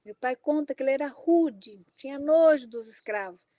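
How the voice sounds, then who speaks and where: A woman's voice: high-pitched, moderate pace, warm tone, no room sound. A middle-aged woman with a Brazilian Portuguese accent, giving an impassioned academic talk, emphatic and exclaiming. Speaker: invited lecturer.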